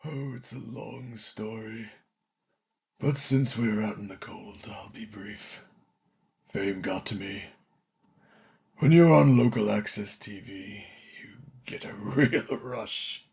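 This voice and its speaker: A man playing a washed-up older children's TV entertainer in a radio play, contrite and theatrical, with a creaky voice. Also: lowish voice, a little mellow. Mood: weary, confessional. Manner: dramatic, halting pleading and grand showman delivery.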